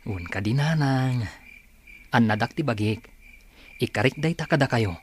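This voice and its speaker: A man's voice: mid-range voice, expressive, moderate pace, lightly acted. A man playing a humble, grateful blind singer in an audio drama, speaking politely and earnestly. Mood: respectful, reassuring. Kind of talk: Ilocano audio drama acting. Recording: faintly noisy track with cricket sounds.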